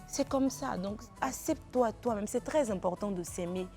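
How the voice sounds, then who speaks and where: A woman's voice: mid-range voice, normal speed, darkish tone, soft background music. A woman guest on a talk show speaks emphatically, giving heartfelt advice.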